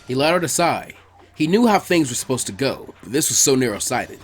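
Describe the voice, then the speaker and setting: A man reading a scripted story aloud as its narrator, in an animated storytelling style. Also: mid-range voice, a little fast, soft background music. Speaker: narrator of fan fiction readings.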